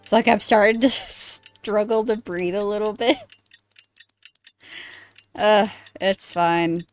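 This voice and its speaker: A woman chats casually as she streams a game, tossing out wry comments at an unhurried pace. Her voice is medium-pitched, with game music behind it. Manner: wry, joking asides. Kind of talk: casual live gaming commentary.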